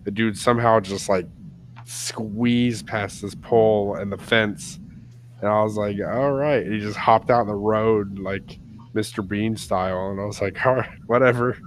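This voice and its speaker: A low-pitched American man chatting on a podcast, loose and talkative with laughs sprinkled through his stories. A faint hiss of noise sits underneath his voice. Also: steady tone, measured pace. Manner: chatty storyteller, laughs often. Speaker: podcast co-host. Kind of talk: casual chat.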